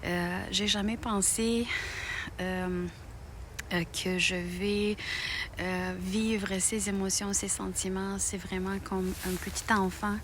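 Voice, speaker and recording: A middle-aged woman from Moldova, speaking French with a Romanian accent, talks to her channel's viewers in a hesitant way, with many pauses and restarts. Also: medium pitch, slight background noise. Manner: halting, many fillers and restarts. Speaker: knitting channel host. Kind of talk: personal thank-you video.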